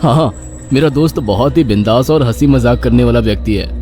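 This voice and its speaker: A man's voice: mid-range voice, a little fast, dark tone, close to an everyday voice. A man voicing a cheerful young father in a cartoon story, breezy but easily panicked. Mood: easygoing. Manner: breezy, then stammering. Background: background music.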